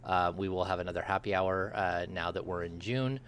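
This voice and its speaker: Deep voiced podcast host guy rambling with lots of ums, slight hiss behind. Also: steady tone, brisk, a bit bright. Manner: rambling, filler-heavy, friendly. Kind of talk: casual sports podcast chat.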